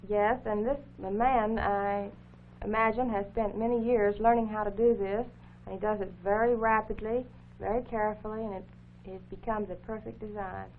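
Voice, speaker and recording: A narrow, phone-like 1950s recording with a faint hum carries a woman's voice with little rise or fall. She explains what is being shown in a careful, formal way, pausing as she finds her words. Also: mid-range voice, fairly even intonation. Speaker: co-host of an educational program. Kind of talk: unscripted explanatory commentary over film footage.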